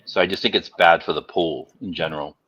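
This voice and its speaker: A low-pitched man talks casually on a livestream panel, swearing freely. The sound is slightly dull and lo-fi. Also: steady tone, fairly quick, a bit bright, breathy edge. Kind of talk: casual chat.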